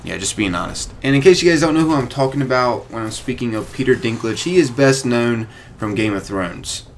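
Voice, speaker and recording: A man's voice: medium pitch, animated, a little fast, slight background noise. A young man hosting a gaming video with informal, conversational commentary.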